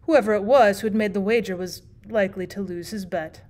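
A woman's voice: medium pitch, a little fast, darkish tone. A woman reading aloud like a teacher, in scripted audiobook narration of a classic short story.

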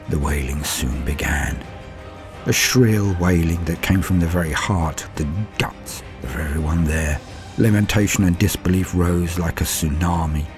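A low-pitched man acting as a horror-struck male survivor gives grim testimony over music. He speaks solemnly and with measured, dramatic pauses, as in an audio horror tale. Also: darkish tone. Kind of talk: dramatic first-person horror narration.

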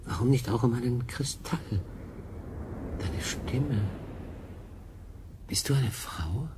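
An actor playing a bewildered, stranded lone sailor in a radio play, halting and doubting his own sanity. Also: mid-range voice, lively intonation, noisy background. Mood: bewildered, curious. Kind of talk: German radio drama acting.

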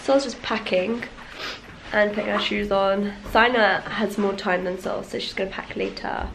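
A woman's voice: mid-range voice, steady tone, moderate pace, vocal fry, a bit bright, slight background noise, poor audio. Stuffy-nosed chatty young woman vlogging. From Iranian family, UK. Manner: chatty, playful, giggly. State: stuffy nose. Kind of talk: casual vlog chat. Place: home and café, indoors. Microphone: handheld camera at arm's length.

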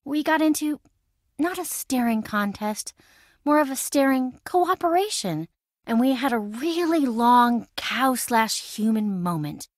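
A woman's voice: on the high side, animated, a little slow, dry recording, clean studio sound. An actress voicing a chatty, impulsive young woman in a video game, breezy, wry and self-dramatizing. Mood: breezy, dramatic. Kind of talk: video game voice acting, conversational.

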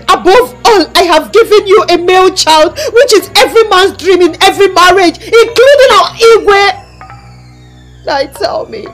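A woman's voice: extremely high pitch, expressive, measured pace, over music. A woman playing a headstrong young woman who complains and confronts. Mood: aggrieved. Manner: defiant, complaining.

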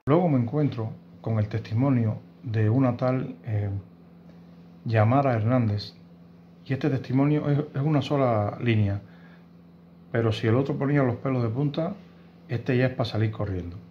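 A man in his fifties from Cuba with a Cuban Spanish accent, giving earnest, indignant news commentary to camera from a room at home, often pausing and restarting. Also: mid-range voice, muffled recording, a little hiss or hum. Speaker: host of a news commentary channel. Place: home room with bookshelves, indoors.

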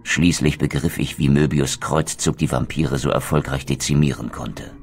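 A man voicing a brooding, noble undead vampire wraith who narrates his quest in a solemn, measured, literary manner for a video game. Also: extremely low pitch, steady tone, darkish tone, soft background music. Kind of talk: scripted dramatic game narration.

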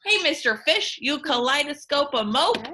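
A woman's voice: high-pitched, expressive, normal speed, bright, forceful tone. A woman voicing a well-meaning storybook sea creature, chiding in perky rhyme.

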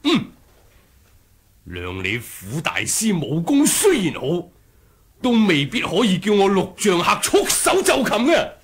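An actor playing a proud, wary martial master in a 1980s radio drama, husky, with theatrical grunts and sighs. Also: male voice, high-pitched, wildly swooping pitch, slow pace, fairly bright tone, full character voice. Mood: suspicious, defiant.

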